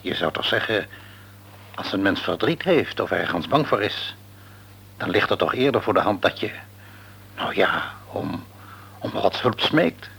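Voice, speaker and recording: An actor playing a middle-aged, devout father in a radio drama, reasoning in a measured way. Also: lowish voice, lively intonation, vocal fry, a bit bright, slightly rough, exaggerated voice. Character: thoughtful, pious father.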